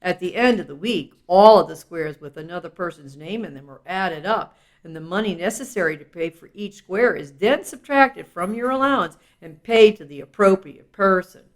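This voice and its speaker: A woman's voice: lowish voice, lively intonation, normal speed, hardly any pauses. A woman reading a children's self-help book aloud in an instructive, explanatory way, with casual asides.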